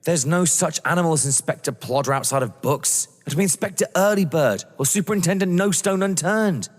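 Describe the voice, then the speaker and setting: A man's voice: a little high, brisk, lightly acted. An actor playing a nervous, grumbling young man who is a reluctant amateur burglar in an audio drama. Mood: incredulous, grumbling. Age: young adult. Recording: long hall-like reverb, noisy.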